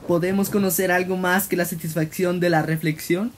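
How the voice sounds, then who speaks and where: A man's voice: high-pitched, flat delivery, a little fast, a little hiss or hum, natural voice. A man voicing a manic young gangster cat in a cartoon, florid, theatrical and gleefully wordy. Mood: mock pondering. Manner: theatrical, florid, mock-philosophical. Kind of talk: animated fan comic dub. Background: rain.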